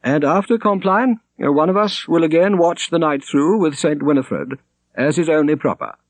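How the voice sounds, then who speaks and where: A man's voice: a little high, lively intonation, moderate pace, dry recording, pitched above the performer's own voice. Guy reading as a stately prior, formal and ceremonious, voice lifted up and swelling. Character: formal, dignified male monastery prior. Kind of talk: audiobook character reading.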